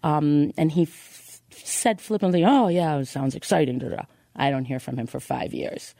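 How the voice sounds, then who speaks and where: A woman telling a personal story as a podcast guest, halting at times and repeating words for emphasis. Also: deep voice, vocal fry, dark tone, dry recording, clean studio sound.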